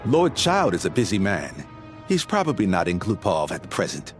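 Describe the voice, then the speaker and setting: A condescending, officious gatekeeper in a video game. His voice sits slightly low with a lively, swinging pitch. Soft game music hums beneath his bureaucratic lecturing. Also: moderate pace. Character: officious male gatekeeper guard, smug and rule-bound. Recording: game audio with faint music.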